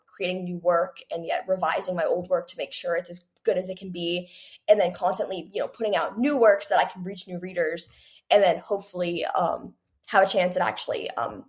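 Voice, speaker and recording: An eighteen-year-old woman speaking casually and earnestly as a young author being interviewed, chatting with frequent use of "like". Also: on the low side.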